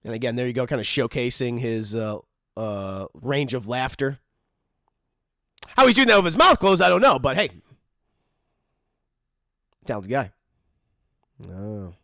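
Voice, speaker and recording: A man's voice: medium pitch, very animated, measured pace, long pauses, pushed and bright, no echo, studio quality. A man casually joking through a cartoon episode commentary, often mock-voicing the characters. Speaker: commentary host.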